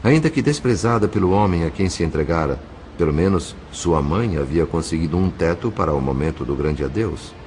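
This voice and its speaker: A man with a Brazilian accent narrating an audio novel in a measured, solemn, literary way. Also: deep voice, animated, darkish tone, a little hiss or hum. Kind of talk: dramatic scripted narration.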